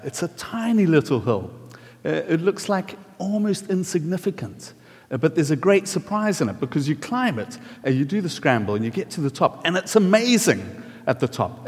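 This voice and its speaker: A man with a South African accent giving a chatty sermon, with many fillers, asking the audience questions. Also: on the high side. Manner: chatty, friendly, filler-heavy. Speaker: preacher. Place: church hall. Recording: reverberant church hall.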